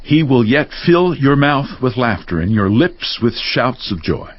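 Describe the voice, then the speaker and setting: Casting: man to play righteous, rebuking counselor, mid-pitched, highly expressive and preachy, dramatized scripture, dry recording. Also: measured pace, no echo, highs cut off. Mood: solemn warning. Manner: declamatory, preachy. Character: stern, moralizing male friend rebuking and counseling.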